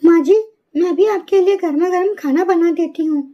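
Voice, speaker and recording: A woman as high-pitched, dutiful young bird wife. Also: normal speed, lo-fi sound. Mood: caring, earnest. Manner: polite, earnest, soothing. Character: gentle, dutiful young daughter-in-law bird, caring and studious. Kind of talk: animated moral story acting.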